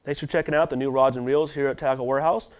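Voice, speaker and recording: A man in his thirties hosting a tackle shop video, running through product specs in a steady delivery with small restarts, in a warehouse storeroom. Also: medium pitch, quick pace. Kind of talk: product rundown to camera. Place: warehouse storeroom with shelves of boxes.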